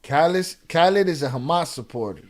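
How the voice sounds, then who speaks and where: A man commenting live on a video, sarcastic and animated, with many restarts. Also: fairly high pitch, a little fast. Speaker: reaction streamer.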